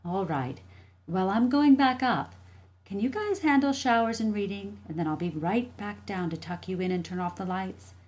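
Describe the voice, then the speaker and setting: A woman's voice: mid-range voice, lively intonation, normal speed, a little mellow, voice pushed up, natural voice. Female narrator as tired, concerned mother for a children's audiobook; direct and no-nonsense. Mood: weary. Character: worried, weary mother checking on her children. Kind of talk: audiobook character dialogue.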